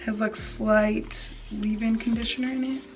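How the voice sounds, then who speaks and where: A woman's voice: a little high, steady tone, a little slow. A young adult woman chatting casually and playfully in slangy speech, like a beauty vlogger giving a hair tutorial. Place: indoor room, plain wall backdrop. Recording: slightly distant mic, faint music.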